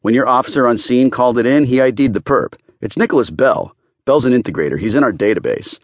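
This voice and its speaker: A man's voice: mid-range voice, animated, fast, dry recording, clean studio sound, voice pushed up, close to an everyday voice. A man voicing a brusque, confident senior female federal agent in an audiobook, with a wry, commanding, needling manner. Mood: brisk, certain.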